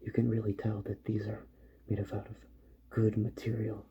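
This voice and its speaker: A soft-spoken man in an ASMR roleplay, murmuring unhurriedly to the listener as a repair doctor at work, often dropping toward a whisper. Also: lowish voice, completely flat delivery, moderate pace, creaky, highs cut off, a little hiss or hum.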